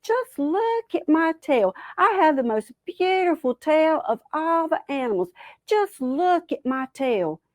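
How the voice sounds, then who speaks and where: A woman voicing a vain, boastful and excitable male possum in an animal folktale. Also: high voice, unhurried, no echo, clean studio sound, voice pushed up. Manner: bragging, self-admiring.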